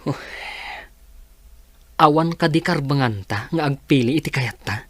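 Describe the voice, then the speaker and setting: A man's voice: fairly high pitch, measured pace, slightly rough, no room sound. An actor playing a troubled, sighing young man pushed into an arranged marriage in a radio drama. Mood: questioning.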